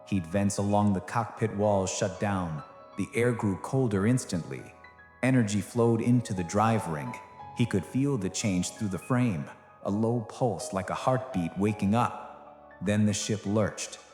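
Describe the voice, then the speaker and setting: A man's voice: lowish voice, a little slow, darkish tone, reverberant, in a large hall. A man reading scripted, dramatic science fiction narration as the narrator of an audio drama. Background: music.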